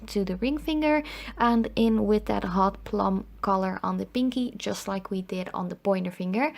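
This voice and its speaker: Slightly hoarse young woman. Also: mid-range voice, a little mellow. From Netherlands. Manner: chatty, lots of fillers like "um" and "like". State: slightly hoarse, recovering from illness. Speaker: nail art video host. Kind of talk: casual voice-over tutorial.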